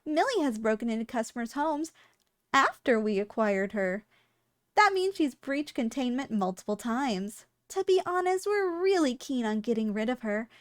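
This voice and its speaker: Woman acting as high-voiced, polite female shop clerk. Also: very animated, normal speed, no room sound. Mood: frank, wry. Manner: formal, courteous salesperson patter with dry understatement. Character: polite, businesslike female shop clerk selling dangerous yandere girls. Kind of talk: scripted ASMR roleplay addressed to the listener.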